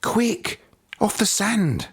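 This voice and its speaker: Man voicing a tiny, eager, high-pitched girl snail. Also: huge pitch swings, drawn-out words, husky, full character voice. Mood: earnest, urgent. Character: tiny female sea snail, eager, plucky, earnest.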